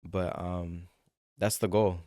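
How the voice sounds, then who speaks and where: Young guy from morocco, guest on a podcast, low smooth voice, chill and kinda deadpan, says um a lot. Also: slow, no echo. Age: young adult. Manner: relaxed, understated, dry humor, hesitant "um" fillers. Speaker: student guest. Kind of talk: casual podcast interview.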